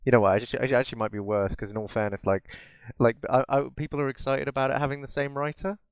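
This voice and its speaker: A man's voice: mid-range voice, level tone, fast, clean tone, no room sound. A man chatting casually as a podcast co-host, opinionated and informal, with plenty of swearing. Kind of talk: casual chat about films and games.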